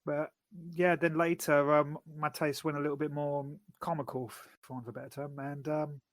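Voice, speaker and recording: A man co-hosting a casual podcast, speaking in a measured, thoughtful way with some restarts. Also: on the high side, level tone, dry recording. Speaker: podcast co-host. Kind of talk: casual chat.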